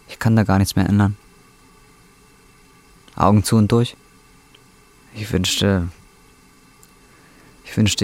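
An actor in his twenties playing a desperate, bitter, down-and-out young man in a radio drama, speaking bluntly in a husky voice. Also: low voice, exaggerated voice. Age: 20s. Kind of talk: naturalistic radio drama acting.

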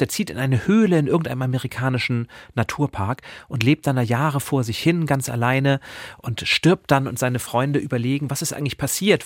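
A man with an average-pitched voice talks casually as the host of a book podcast. He sounds enthusiastic and playful, recorded close in a very dry space. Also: no room sound.

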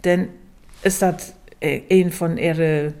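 A woman's voice: fairly low pitch, fairly even intonation, slow pace, vocal fry, darkish tone. A woman with a northern German accent speaking conversationally as an interviewee.

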